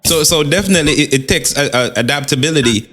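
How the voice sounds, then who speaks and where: A man's voice: on the high side, fast, fairly bright tone. Man with a reverb-laden voice. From the Caribbean. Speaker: podcast host. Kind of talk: casual interview chat.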